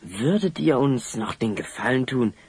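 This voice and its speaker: An actor playing a confident, courteous adventurer hero in a German audio drama, polite and assured. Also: male voice, medium pitch, animated, normal speed, darkish tone, natural voice. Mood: persuasive.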